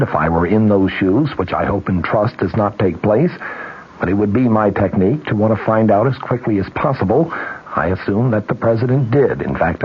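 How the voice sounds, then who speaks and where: An American man reading scripted radio news in a measured, formal manner. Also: fairly low pitch, slightly rough. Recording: dull 1970s radio broadcast recording.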